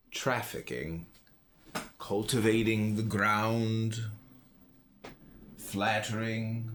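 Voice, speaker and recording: A man reading a philosophy audiobook, stressing key words and phrases with dramatic emphasis. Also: fairly low pitch. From United States. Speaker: audiobook reader.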